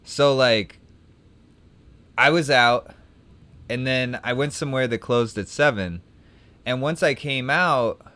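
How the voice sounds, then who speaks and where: A man's voice: medium pitch, slow pace, pauses often, bright, forceful tone, dry recording. A young man casually chatting while streaming a card game, telling a rambling story with frequent restarts. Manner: rambling, self-correcting storyteller. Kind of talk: casual gameplay chat and anecdote.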